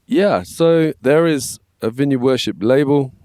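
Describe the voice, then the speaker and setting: An English man in his forties with a slightly scratchy voice, chatting casually as a podcast guest and telling stories in a relaxed way. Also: mid-range voice, unhurried, no echo, studio quality. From England. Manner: relaxed, storytelling, frequent "yeah, man". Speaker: guest, worship label manager. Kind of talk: casual conversation.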